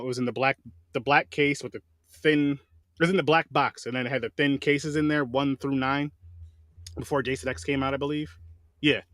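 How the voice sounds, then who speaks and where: Fast-talking profane podcast host man. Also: mid-range voice, no room sound, clean studio sound. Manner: rapid, animated, swears freely. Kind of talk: casual profane chat.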